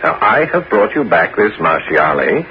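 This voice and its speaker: A man in a 1970s radio drama playing a scheming, smooth-talking bishop, coaxing and commanding with feigned politeness. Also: low voice, sing-song, moderate pace. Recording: noisy, dull 1970s radio broadcast.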